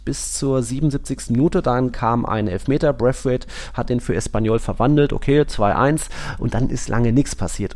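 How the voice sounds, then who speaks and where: Gravelly, mellow-voiced podcast man. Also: medium pitch. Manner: rambling, self-correcting analysis with many fillers. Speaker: podcast co-host. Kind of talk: casual football podcast chat.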